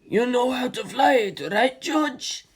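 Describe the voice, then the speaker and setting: A woman's voice: fairly high pitch, expressive, slow pace, slightly rough, voice pushed up, exaggerated voice. A woman voicing a confident young male pilot who speaks in an upbeat, encouraging way. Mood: cheerful.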